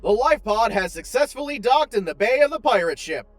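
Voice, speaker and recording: A performer voicing a calm, literal-minded tour guide AI in a space comedy audio drama, formal and matter-of-fact. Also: medium pitch, fairly quick, over music, natural voice. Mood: impassive.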